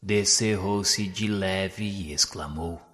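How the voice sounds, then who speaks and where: A man giving a solemn, measured narration of a spiritual novel in a radio drama. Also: low voice, fairly bright tone, in a large hall. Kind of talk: scripted literary narration.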